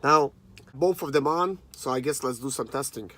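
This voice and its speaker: A man's voice: mid-range voice, moderate pace, fairly bright tone. A man in his thirties chatting casually and informally to viewers as he reviews a product. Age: 30s. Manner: informal, conversational, addresses viewers as guys. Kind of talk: casual unboxing chat to camera.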